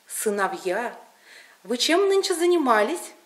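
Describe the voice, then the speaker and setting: A woman's voice: a little high, sing-song, a little slow. A woman voicing a stern but kindly peasant father in a folk tale, with an emphatic, folksy, questioning manner. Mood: probing.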